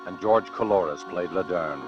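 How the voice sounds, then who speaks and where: An actor playing a sinister, suave mystery narrator, hosting a radio suspense series in a formal, deliberate manner. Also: male voice, sits low, close to an everyday voice. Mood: ominous, formal. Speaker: announcer and host of a suspense series. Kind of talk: 1940s radio announcing, scripted narration. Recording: muffled old 1940s radio broadcast, loud music. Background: loud dramatic music.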